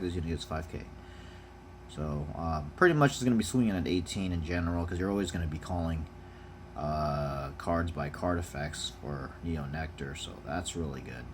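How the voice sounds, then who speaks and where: A man's voice: sits low, moderate pace, slight background noise. A man talking casually and off the cuff as he reviews trading cards, laid-back in manner, reading out text and giving brief verdicts. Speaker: card game unboxing vlogger.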